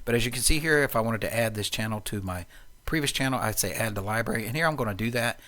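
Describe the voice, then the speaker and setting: A man presenting an informal, unscripted software tutorial, explaining things step by step. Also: lowish voice, fast talker. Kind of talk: informal screencast walkthrough.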